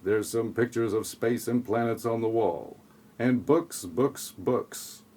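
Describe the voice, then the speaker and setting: Deadpan man narrating an audio play. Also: mid-range voice, takes it slowly. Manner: deadpan, sarcastic asides. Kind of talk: tongue-in-cheek scripted audio play narration.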